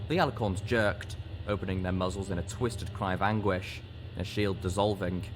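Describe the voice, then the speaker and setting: A man reading a fantasy story aloud as dramatic audiobook narration, in a deliberate, expressive storytelling manner. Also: sits low, music in the background. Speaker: story reader.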